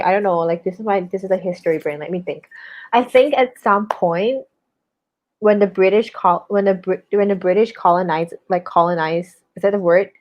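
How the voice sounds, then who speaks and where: A young woman from Malaysia hosting a casual book discussion, thinking aloud with many restarts and hesitations. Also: medium pitch. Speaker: book club host. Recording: slightly dull lo-fi sound.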